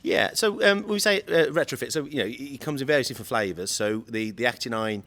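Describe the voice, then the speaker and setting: A man in his late forties with a slightly croaky voice, chatting as a guest on a podcast and often repeating words while he searches for them. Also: mid-range voice, fast, a bit bright. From United Kingdom. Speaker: guest from an electrical equipment maker. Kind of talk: casual podcast chat.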